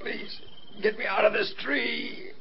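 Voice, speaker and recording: A man's voice: very high-pitched, unhurried, gravelly, husky, full character voice. A man playing a hurt, weak airman pleading helplessly in an old-time radio drama. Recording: dull, faintly noisy old radio recording.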